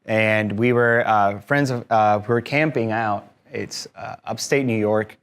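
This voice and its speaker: A man's voice: lowish voice, fairly even intonation, a little slow, a bit bright, smooth. A man in his thirties from Mexico, speaking as a guest to a live audience in a room, friendly and explanatory with frequent fillers. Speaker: guest speaker, tour company owner. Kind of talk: conversational talk to a live audience.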